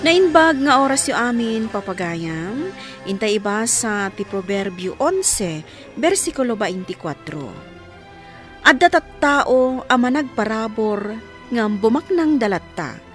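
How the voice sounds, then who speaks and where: A woman with a Filipino accent hosting and narrating a scripted radio drama introduction in a measured, declamatory way. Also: a little high, sing-song. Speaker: programme host and narrator. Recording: music behind the voice.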